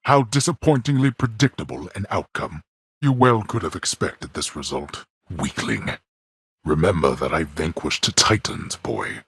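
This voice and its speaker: A man voicing a stern, scornful god of the dead and imperious father in a video game, with a booming, gravelly voice and formal, archaic phrasing. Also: lively intonation, a little slow, a bit bright, no room sound, studio quality, exaggerated voice. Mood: scornful, angry. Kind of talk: video game character voice acting.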